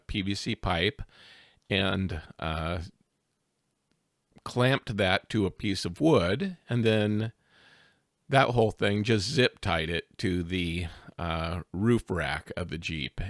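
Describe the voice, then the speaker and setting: A man with a deep voice talks through his hobby project in a relaxed how-to style. He speaks slowly, stopping often for ums and uhs. The sound is dry and close. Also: dry recording. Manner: hesitant, many filler sounds. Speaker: hobbyist video maker. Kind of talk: casual how-to narration. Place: outdoors in the desert.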